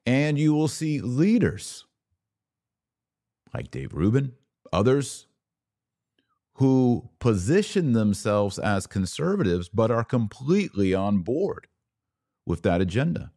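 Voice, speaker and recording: An American man hosting a political commentary podcast, speaking in a deliberate, emphatic monologue that leans on repeated words for stress. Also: medium pitch, big pitch swings, mellow. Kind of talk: opinion monologue to camera.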